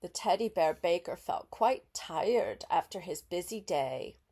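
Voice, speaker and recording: An older woman reads a children's story aloud as a grandmother would, expressively, pausing to chat and put simple questions to her young listener. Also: on the low side, animated, warm tone.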